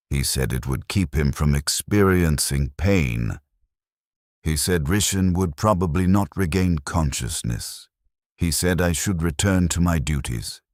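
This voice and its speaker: Very deep, raspy, somber male narrator. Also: no echo, clean studio sound. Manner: grave, somber, deliberate short sentences. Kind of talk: scripted first-person war story narration.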